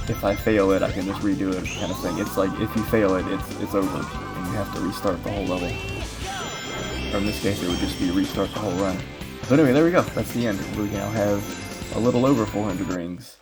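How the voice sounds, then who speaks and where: A man with a fairly low voice commentates casually on his video game run. He rambles and thinks aloud as he plays. Game music plays clearly behind him. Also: a little fast. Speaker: speedrun player and commentator.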